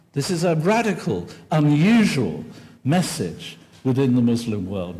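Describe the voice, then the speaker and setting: An older man from England giving an earnest, measured keynote lecture at a podium microphone in a large hall. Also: medium pitch, a little mellow. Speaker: keynote speaker. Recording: long hall reverb.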